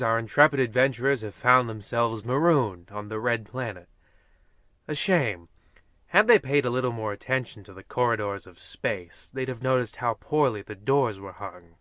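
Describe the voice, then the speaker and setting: An actor playing a wry, mock-ominous host narrating a parody of vintage radio mystery shows. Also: male voice, mid-range voice, moderate pace, a bit bright, dry recording, studio quality, natural voice. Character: wry, eerie old-time radio horror host narrator.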